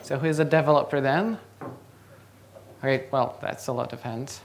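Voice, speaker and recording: A man's voice: mid-range voice, steady tone, moderate pace, creaky, slight background noise. Young Czech man giving a tech talk. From Czech Republic. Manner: quizzing the audience. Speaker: conference speaker. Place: large lecture hall, on stage.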